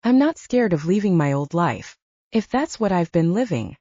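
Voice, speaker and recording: A jaded young mercenary with a blunt, offhand manner and a lot of swearing, voiced by a woman narrator. The voice sits low and mellow but moves lively in pitch. The sound is dry and a little dull. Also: normal speed, husky, dry recording.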